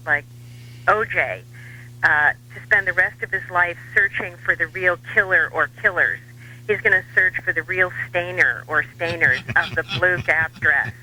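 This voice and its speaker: High, piercingly bright American male commentator. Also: poor audio. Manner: wry, dry humor, measured hesitations. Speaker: radio show guest, political commentator. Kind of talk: talk radio interview.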